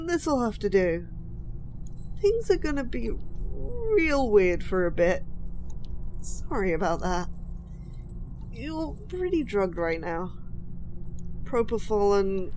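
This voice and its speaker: A woman acts out an obsessive, lovesick female kidnapper in an audio roleplay. She talks slowly and haltingly, nervous and apologetic as she addresses the listener. Clear noise sits behind her voice. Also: medium pitch, darkish tone. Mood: awkward, apologetic. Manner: halting, hesitant, flustered and apologetic.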